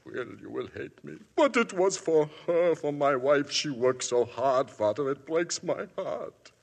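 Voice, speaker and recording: A man's voice: fairly high pitch, lively intonation, a little slow, vocal fry, husky, exaggerated voice. An actor playing a frightened refugee man who has killed someone, with a pleading, anguished delivery, in a 1950s radio drama. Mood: desperate. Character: frightened German refugee man, guilt-ridden murderer. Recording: old broadcast with faint music.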